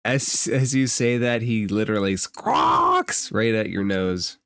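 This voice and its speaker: A man narrating a tabletop game as game master in a halting, informal, conversational way. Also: on the low side, huge pitch swings, creaky, fairly bright tone, no room sound, studio quality.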